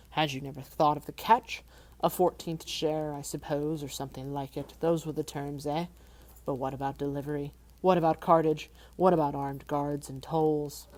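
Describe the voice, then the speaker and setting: Casting: woman reading a wicked old dragon, booming, boastful, low-voiced. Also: darkish tone, close to an everyday voice. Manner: gloating, mocking, booming.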